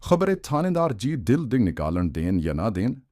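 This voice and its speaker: A man's voice: fairly low pitch, big pitch swings, unhurried, warm tone, dry recording, studio quality. A man voicing a brutish Punjabi-accented policeman in an audio story, bullying through gritted teeth. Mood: ferocious. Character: snarling, brutish male Punjabi policeman thug. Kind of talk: audiobook villain acting. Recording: faint music bed under voice.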